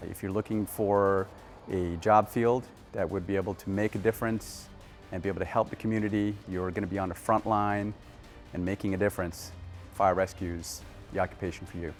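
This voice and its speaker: A man's voice: deep voice, steady tone, fairly quick. A man firefighter urging listeners toward the job, earnest. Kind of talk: interview testimonial. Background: soft music.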